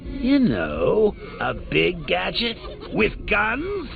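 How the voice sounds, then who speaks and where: An actor playing a gleeful, taunting male clown villain in a video game, mocking and theatrical, dropping to whispers and rising to shouts. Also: sits high, wildly swooping pitch, very slow, vocal fry, heavily acted. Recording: tinny phone-like sound over music. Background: game music.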